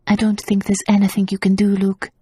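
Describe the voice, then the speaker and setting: A woman voicing a frightened young noblewoman in an audiobook, speaking softly and pleadingly. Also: mid-range voice, monotone, brisk, slightly rough, dry recording, voice pushed up. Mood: anxious.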